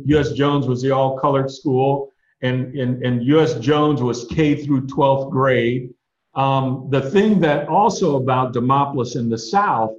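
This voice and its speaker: Older male attorney guest, measured storyteller, muffled and echoey sound. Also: medium pitch, a little mellow. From USA, Ohio and Alabama. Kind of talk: reflective storytelling interview. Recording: dull, roomy recording.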